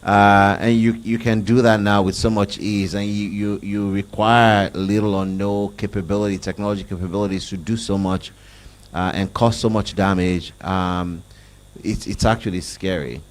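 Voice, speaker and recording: A middle-aged man with a Nigerian accent speaking as a panelist at a conference, measured, repeating words as he builds a point, into a handheld mic. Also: lowish voice, little pitch movement. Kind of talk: panel discussion answer. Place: conference hall on stage.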